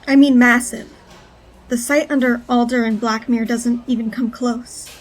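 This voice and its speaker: A woman's voice: a little high, fairly even intonation, a little slow, poor audio. An actress playing a wary, resolute female informant who speaks in an understated, matter-of-fact way in an audio drama.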